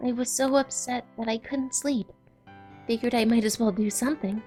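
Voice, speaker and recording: A woman's voice: on the high side, steady tone, normal speed, warm tone, soft background music, natural voice. A woman voicing an eager, bookish young female cartoon pony with an earnest, wordy, apologetic manner in a comic dub. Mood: flustered, apologetic.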